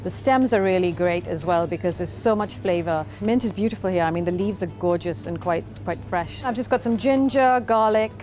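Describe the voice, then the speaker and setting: A woman from Pakistan, a cookbook author, speaks as a guest on a TV show. Her voice sits low for a woman, and she explains cooking in an easy, chatty way. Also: fairly quick, few pauses, a little mellow, soft background music. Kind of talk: TV studio interview and cooking segment.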